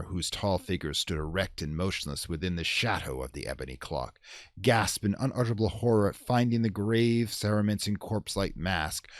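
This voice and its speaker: A man's voice: low-pitched, dry recording. Man, horror narrator and host, theatrical, ornate phrasing, widely swinging intonation, very dry close sound. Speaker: horror story reader and channel host. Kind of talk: dramatic gothic audiobook reading, then informal host sign-off.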